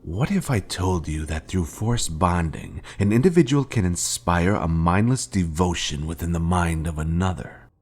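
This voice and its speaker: A man acting a deep-voiced, solemn old master. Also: expressive, slightly rough, heavily acted. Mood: grave, probing. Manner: solemn, deliberate, rhetorical. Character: grave former Jedi Master confronting his fallen Padawan. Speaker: game character. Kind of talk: scripted dramatic video game dialogue.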